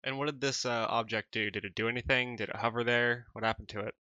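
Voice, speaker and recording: A male co-host with a lowish but bright, sharp voice, asking curious follow-up questions. The audio is dry and lo-fi. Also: steady tone, fast talker, no echo. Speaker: podcast co-host. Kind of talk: casual podcast interview.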